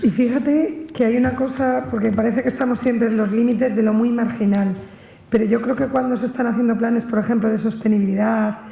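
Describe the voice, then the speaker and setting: A woman's voice: a little high, steady tone, fairly quick, vocal fry, warm tone, a little hiss or hum. A woman speaking reflectively as a panelist in a spontaneous discussion. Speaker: panelist, science radio project member. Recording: echoey hall with long reverb.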